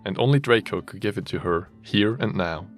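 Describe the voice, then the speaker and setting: A man's voice: on the low side, brisk, soft background music. A man reading a fanfiction story aloud as a dramatic narrator, mock-serious and often amused, with joking asides. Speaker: story reader.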